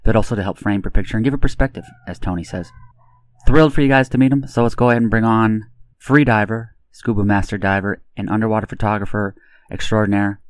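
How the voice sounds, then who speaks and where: A man's voice: fairly low pitch, no room sound. A man hosting an interview show, introducing and questioning his guest in an enthusiastic manner. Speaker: podcast host interviewing a guest.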